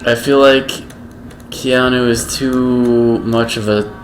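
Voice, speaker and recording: A man giving casual gameplay commentary, slow and halting, with a self-described monotone voice. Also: medium pitch, slight background noise. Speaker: gaming video creator.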